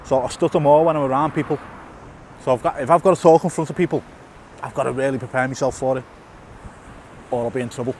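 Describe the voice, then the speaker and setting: A man's voice: mid-range voice, fairly even intonation, quick pace, long pauses, a little mellow, a little hiss or hum. A middle-aged man, a lisp and a stutter, talking casually to camera on a walk outdoors. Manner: stutters, repeats and restarts words, fillers. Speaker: YouTuber. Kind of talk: casual walk-and-talk vlog. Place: outdoors in a town, by a tunnel.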